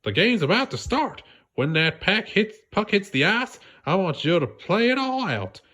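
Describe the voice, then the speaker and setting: A man's voice: high-pitched, very animated, moderate pace, bright, forceful tone, pitched above the performer's own voice, heavily acted. A man voicing a delighted, encouraging female squirrel in a folksy, peppy storybook character voice. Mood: rousing.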